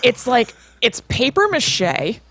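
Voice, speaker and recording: A woman's voice: on the high side, big pitch swings, slow pace, a bit bright, no echo. Chatty American woman telling a story. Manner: chatty, informal, peppered with "like". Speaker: podcast co-host. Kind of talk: casual conversational storytelling.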